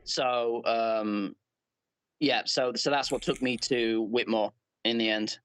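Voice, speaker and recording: An English man from Leeds chatting as a guest, earnest and halting with many fillers and restarts. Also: mid-range voice, fairly even intonation, a bit bright, clean tone, low-quality recording. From Leeds, England. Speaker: guest player. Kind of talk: casual chat.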